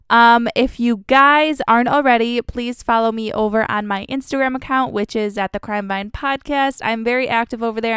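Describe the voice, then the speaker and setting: A woman's voice: fairly high pitch, a bit bright, clean tone, no echo, clean studio sound. A young woman hosting a true crime podcast in a casual, chatty way. She has a cold and sounds a bit congested, and she fills her talk with "like" and "um". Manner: chatty, lots of "like" and "um" fillers. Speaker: podcast host.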